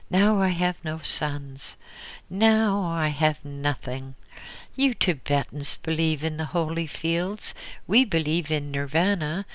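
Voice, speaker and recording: A man voicing a grieving old widow who is frightened and desperate, with tearful, pleading outbursts that break high. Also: slow pace, a bit bright, clear, resonant tone, no room sound. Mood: anguished. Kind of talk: audiobook reading.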